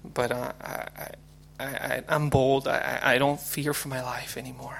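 Man, creaky voice, middle pitch, halting testimony, repeated words. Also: little pitch movement, a bit bright. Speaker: speaker giving testimony. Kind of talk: preaching and testimony through an interpreter.

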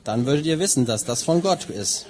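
Husky male church interpreter. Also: mid-range voice, expressive, brisk, a little mellow. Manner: translates line by line, with some fillers and restarts. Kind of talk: sermon interpreting and scripture reading.

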